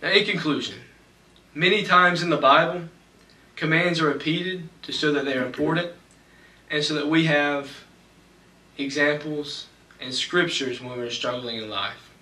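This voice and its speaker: A young man preaching a sermon from a church pulpit, reading scripture slowly and deliberately. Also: a little high, bright, forceful tone. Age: young adult. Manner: measured, slows for scripture reading. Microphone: pulpit mic, far camera. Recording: echoey church from far off.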